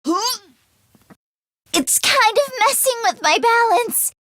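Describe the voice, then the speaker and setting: A woman voicing a nervous, expressive teenage girl fighter in an English video game, with casual youthful phrasing. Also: very high voice, takes it slowly, no room sound, studio quality, heavily acted. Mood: anxious, queasy.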